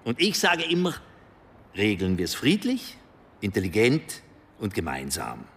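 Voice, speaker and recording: Formal gentleman, insinuating, measured, lively pitch, radio drama. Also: slightly rough, exaggerated voice. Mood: smoothly polite. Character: formal, smoothly insistent Swiss gentleman mediator.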